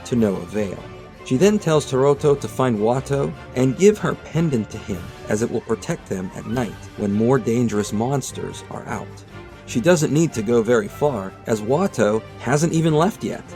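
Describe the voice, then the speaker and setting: Male narrator, average pitch, measured dramatic storyteller reading a script over light background music. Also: darkish tone. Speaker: narrator of a manga retelling video.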